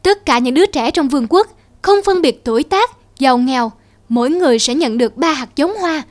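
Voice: sits high, lively intonation, brisk. An actor voicing a weary, aging, childless king in a cartoon fairy tale, solemn and stately. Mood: resolved, stately.

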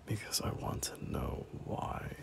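A young man playing a teasing, bashful male friend in an intimate, casual romance audio roleplay, soft-spoken and halting. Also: lightly acted. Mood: playful teasing. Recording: noticeable background noise.

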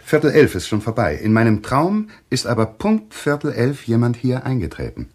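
A husky male doctor in a radio thriller. He is earnest and hesitant, troubled by a nightmare, and his pitch moves in lively swings. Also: normal speed. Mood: grave, hesitant. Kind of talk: radio play acting.

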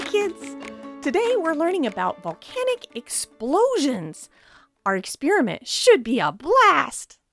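A woman's voice: sits high, full character voice. A woman playing an eccentric, reckless science teacher in a sitcom, theatrical and exaggerated. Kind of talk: sitcom acting.

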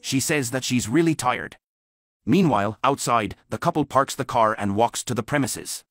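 Guy doing voice-over story narration, mid pitch, steady matter-of-fact delivery, dry sound. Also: moderate pace, no echo.

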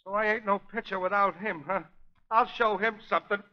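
An actor playing a simple, childlike, plucky young baseball pitcher in 1930s radio drama, talking in naive slang. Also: male voice, fairly even intonation, a little fast, natural voice. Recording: dull, lo-fi 1930s radio recording.

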